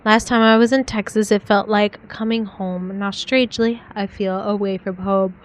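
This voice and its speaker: A woman reading aloud as a young 1940s woman writing tender love letters, in a soft, heartfelt way. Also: medium pitch, steady tone, moderate pace, a little hiss or hum, natural voice. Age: young adult.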